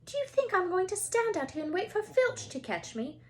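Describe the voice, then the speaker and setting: Woman acting out bossy, shrill, high-pitched schoolgirl. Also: big pitch swings, moderate pace. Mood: defiant. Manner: scolding, insistent.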